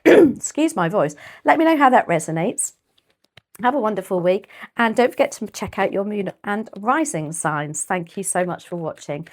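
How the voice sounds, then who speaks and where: A woman with a British accent giving a casual tarot reading, chatty and rambling, her voice a little croaky and often clearing her throat. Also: mid-range voice, darkish tone. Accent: British English. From United Kingdom. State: slightly croaky voice. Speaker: tarot reader.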